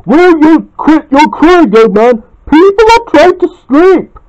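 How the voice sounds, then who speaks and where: An amateur storyteller voicing an annoyed man who yells and scolds. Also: high voice, big pitch swings, takes it slowly, pitched above the performer's own voice, heavily acted, rough recording. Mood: irritated. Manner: loud, scolding.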